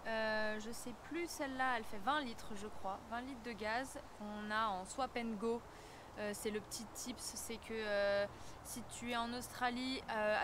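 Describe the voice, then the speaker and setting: Woman chatting outdoors over clear noise. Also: fairly high pitch. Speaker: travel vlogger. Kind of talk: casual vlog chat. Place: outdoors in bush by a car.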